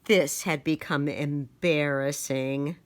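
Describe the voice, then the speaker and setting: A woman reading a children's picture book aloud in a dramatic, deliberate storytelling manner. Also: low-pitched, steady tone.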